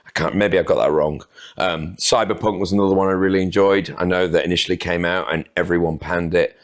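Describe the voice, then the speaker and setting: A man answers interview questions, his voice sitting very low and heavy. He talks in a relaxed, rambling way and pokes gentle fun at himself. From North Wales. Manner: unhurried, rambling, self-deprecating. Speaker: podcast guest. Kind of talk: casual interview chat.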